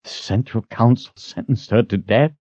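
A man's voice: mid-range voice, normal speed, darkish tone, slightly rough, dry recording, voice pushed up, close to an everyday voice. A man voicing a young swordsman who speaks in a tense, pressing way. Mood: urgent. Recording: dull lo-fi audio.

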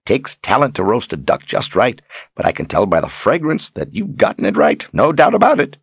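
A man's voice: on the low side, huge pitch swings, normal speed, gravelly, breathy edge, dry recording, pitched above the performer's own voice, exaggerated voice. A man voicing a boastful, sly old wizard who talks in a folksy, grandiose way, as in an audiobook. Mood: jovial, grandiose. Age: older. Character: theatrical, boastful, sly old wizard posing as fortune-teller. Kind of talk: audiobook character acting.

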